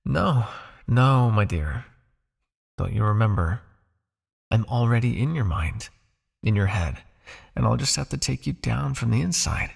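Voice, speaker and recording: A possessive male vampire, played by a man, coaxes and commands his captive in intimate hypnosis roleplay. His soft, teasing voice has a clear gravelly fry to it. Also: mid-range voice, animated, a little slow, pauses often, full character voice. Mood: hypnotic, coaxing.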